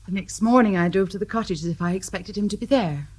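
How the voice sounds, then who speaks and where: A woman's voice: medium pitch, quick pace, darkish tone, slightly rough, lightly acted, low-quality recording. An actress playing an anxious young woman hiding a guilty secret in a radio drama, speaking in a subdued, tense way.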